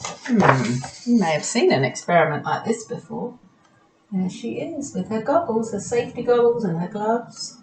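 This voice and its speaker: Animated woman reading a picture book aloud. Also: on the high side, normal speed, slight background noise, low-quality recording. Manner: asks the listening children questions. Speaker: teacher reading aloud to children. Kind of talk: expressive picture-book read-aloud with asides.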